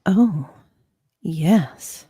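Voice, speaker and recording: A woman guest on a livestream, reading a deposition part and chatting in an animated, conversational way. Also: lowish voice, very slow, breathy edge, dry recording, studio quality. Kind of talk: dramatic deposition reading and casual chat.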